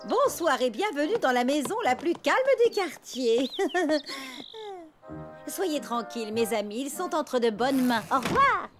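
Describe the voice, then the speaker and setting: A woman's voice: high-pitched, animated, a little slow, over music. A woman playing a gushing, proud mother and hostess in a French cartoon, with affected, effusive politeness.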